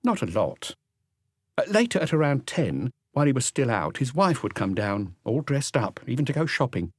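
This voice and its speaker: Guy doing a friendly young lady concierge for an audiobook, pitched up, polite n chatty, helpful. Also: sing-song, normal speed, slightly rough, dry recording, studio quality. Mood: recollecting, helpful. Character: young, affable woman concierge, helpful and soft-spoken. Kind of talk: audiobook character dialogue.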